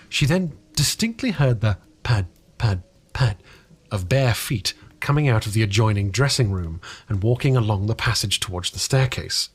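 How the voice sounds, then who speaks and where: A man reading fiction aloud as a dramatic audiobook narrator, deliberate and expressive, with the occasional stumble of a single take. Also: mid-range voice, slightly rough.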